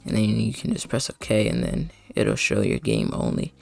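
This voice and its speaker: Man with heavy vocal fry for a casual screen walkthrough, deep-ish, faint music underneath. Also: wildly swooping pitch, normal speed, a little mellow. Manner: loose and unscripted, many fillers, trails off mid-sentence. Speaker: streamer giving a tutorial. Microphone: desktop mic at a computer.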